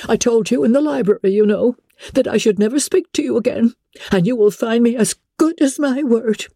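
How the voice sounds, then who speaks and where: A woman's voice: a little high, a little fast, dark tone, slightly rough, no room sound, studio quality, voice pushed up. A woman narrator voicing a fretful, melodramatic middle-aged mother who complains plaintively in a classic novel reading. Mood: indignant scolding. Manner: doleful, wailing complaint.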